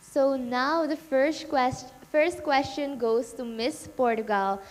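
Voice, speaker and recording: A nineteen-year-old woman from Suriname introducing herself, then reading out fan questions with halting pauses over names. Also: sits high. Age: teens. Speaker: pageant contestant and question moderator.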